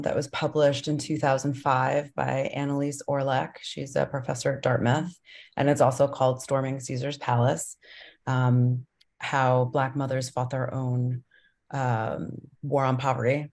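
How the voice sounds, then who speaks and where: A woman from Colombia with a very deep voice talks about her film in a panel. She speaks thoughtfully, pausing and restarting her sentences. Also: creaky, a little mellow. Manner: thoughtful, many ums and restarts. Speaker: documentary director. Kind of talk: panel conversation.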